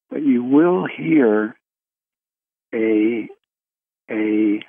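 A man hosting a spiritual conference call, talking in a slow, rambling way with restarts. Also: medium pitch, no echo. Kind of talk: casual call-in talk. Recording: tinny phone line.

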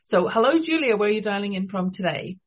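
A woman's voice: medium pitch, animated, fast, slightly rough. A woman with an English accent, hosting a radio interview show and introducing her guest with enthusiasm. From England.

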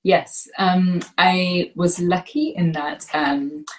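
A woman's voice: fairly low pitch, fairly even intonation, takes it slowly, poor audio. A woman in her forties, a podcast guest, telling reflective stories, often correcting herself and laughing. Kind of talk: reflective interview storytelling.

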